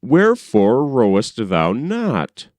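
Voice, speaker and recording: A man's voice: mid-range voice, wildly swooping pitch, slow, darkish tone, dry recording, studio quality. A man playing a stately, commanding king of ancient Egypt in formal storybook speech.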